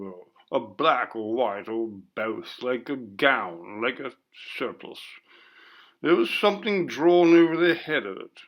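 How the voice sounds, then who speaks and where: A man reading a ghost story, voicing a bold, blustering, pompous gentleman with emphatic, old-fashioned speech. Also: medium pitch, close to an everyday voice.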